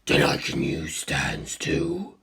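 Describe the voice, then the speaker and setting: An immortal inhuman male villain from an audiobook, in a very low, breathy half-whisper. He speaks slowly and deliberately, coldly curious and detached. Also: huge pitch swings, fairly bright tone, heavily acted. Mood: calm curiosity. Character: Kars, cold inhuman male ultimate being, calmly curious.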